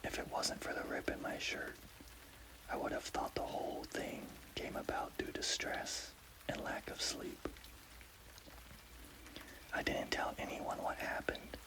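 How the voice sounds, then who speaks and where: A man telling a scary story in a breathy, unvoiced ASMR whisper. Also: measured pace, pauses often. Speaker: horror story narrator.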